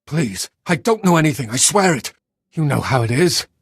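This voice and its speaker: An actor playing a terrified, cowering Sith acolyte held prisoner in a video game, nervous, stammering and pleading. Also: male voice, a little high, expressive, normal speed, slightly rough, dry recording, studio quality. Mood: pleading, frightened.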